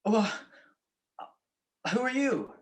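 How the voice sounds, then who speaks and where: A man's voice: high-pitched, big pitch swings, drawn-out words, heavily acted. A man playing a wisecracking, easygoing private detective in a radio-style mystery, with a wry, offhand manner. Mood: wry, groggy. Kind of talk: 1940s radio detective acting.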